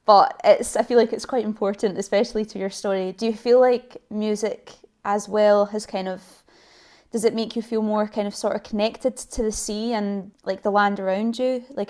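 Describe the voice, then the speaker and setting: A woman's voice: mid-range voice, steady tone, moderate pace, a little mellow. A young woman from Edinburgh hosting a podcast, chatty, with lots of likes and kind-ofs. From Edinburgh, Scotland. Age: young adult. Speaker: podcast host. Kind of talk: casual interview.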